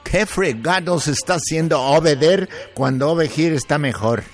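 A man hosting a radio call-in show, joking and playful, stretching out words for effect. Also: fairly high pitch. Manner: playful, joking, drawn-out shouts. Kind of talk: lively radio call-in chat. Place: Los Angeles studio.

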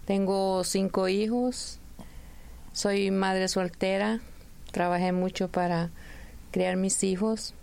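A middle-aged woman from Honduras speaking in a radio interview, slow and halting with many restarts. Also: mid-range voice, fairly even intonation, gravelly, darkish tone, clear, resonant tone.

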